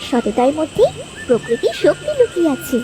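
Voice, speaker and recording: A woman voicing a gentle, solemn fairy who advises and admonishes in a Bangla cartoon. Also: sits high, sing-song, moderate pace, background noise. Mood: solemn, admonishing. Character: gentle, solemn fairy granting gifts to the honest.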